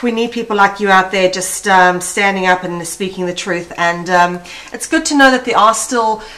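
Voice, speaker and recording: A woman from South Africa with a South African accent, conducting a conversational interview in an effusive, slightly halting way. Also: mid-range voice, a bit bright.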